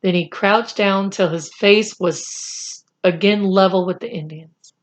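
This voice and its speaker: A woman reading a children's chapter book aloud in a deliberate, expressive storytelling style. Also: mid-range voice. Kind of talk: read-aloud storytelling narration.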